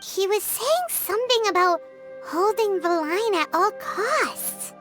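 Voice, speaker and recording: A woman voicing a tiny, squeaky, childlike fairy companion in a video game, anxious and inquisitive. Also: expressive, slow pace, over music, full character voice. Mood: puzzled. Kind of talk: cute video game acting.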